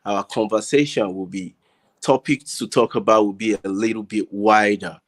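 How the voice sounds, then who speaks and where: A man with a Nigerian accent talking casually as a panel guest, haltingly repeating words as he searches for his phrasing. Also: lowish voice, steady tone. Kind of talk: casual discussion. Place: online group video call.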